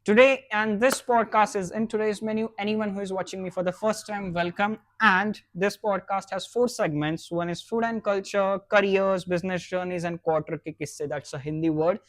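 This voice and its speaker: A man with a slightly high voice hosts a podcast. He talks casually and wanders a little from point to point. The sound is close and dry. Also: steady tone, normal speed, few pauses, a bit bright, dry recording. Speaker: podcast host. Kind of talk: casual interview chat with a scene-setting introduction.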